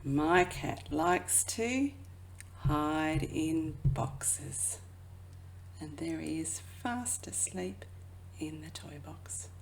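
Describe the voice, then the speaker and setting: A woman who works as a children's educator reads a picture book aloud expressively, drawing out phrases and dropping into hushed asides. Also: sits low, animated, very slow, vocal fry, a little hiss or hum. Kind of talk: children's storybook read-aloud.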